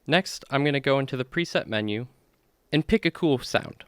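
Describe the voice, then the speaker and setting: A man hosting a software tutorial, explaining each step plainly in an instructional walkthrough. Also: medium pitch, animated, normal speed, clear, resonant tone, no echo, clean studio sound. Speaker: tutorial host.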